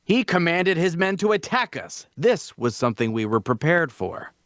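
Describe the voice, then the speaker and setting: A man's voice: fairly high pitch, big pitch swings, normal speed, a bit bright, dry recording. The puffed-up hero holding forth in a fantasy audio drama, swooping through his grand tale in dry, close sound. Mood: grave, dramatic. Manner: grandiose, theatrical storytelling. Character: self-important male adventurer spinning a heroic tale. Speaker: actor at a table read. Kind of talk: dramatic fantasy dialogue reading.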